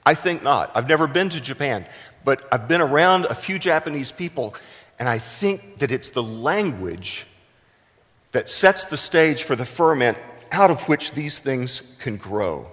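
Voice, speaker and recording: A middle-aged American man from South Texas preaching a sermon deliberately, with dramatic emphasis and pauses. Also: medium pitch, big pitch swings, a bit bright. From South Texas, United States. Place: large reverberant room, speaking to a congregation. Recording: echoing hall with long reverb.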